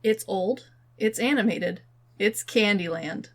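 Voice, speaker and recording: A woman's voice: medium pitch, slow. Blunt woman chatting casually on a podcast. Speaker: podcast co-host. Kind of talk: casual chat.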